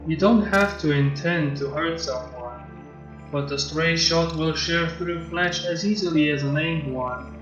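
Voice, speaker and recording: Man voicing a slow, serene robot zealot. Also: a little high, close to an everyday voice. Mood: gentle, knowing. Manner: calm, measured, solemn philosophical delivery. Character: serene male robot zealot, calm and fervent. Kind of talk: fan fiction character reading. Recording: roomy muffled mic with music behind. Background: music.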